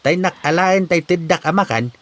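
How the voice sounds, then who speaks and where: A man playing an expressive older village father in a comedy drama. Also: fairly high pitch, normal speed, no echo, close to an everyday voice. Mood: caring. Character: lively, caring older father, village neighbor. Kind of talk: amateur Ilocano comedy drama acting.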